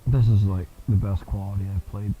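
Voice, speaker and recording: A man with a bassy voice giving casual, rambling, slangy commentary while streaming a game. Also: fairly even intonation, fairly quick, narrow, tinny audio, a little hiss or hum.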